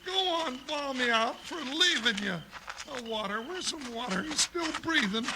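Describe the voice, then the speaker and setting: An actor playing a big, blustery comic cowboy sidekick in a 1950s radio Western, with a folksy, exclamatory American Western drawl. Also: male voice, very high-pitched, animated, normal speed. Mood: worried, flustered. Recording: noisy, clicking 1950s radio recording.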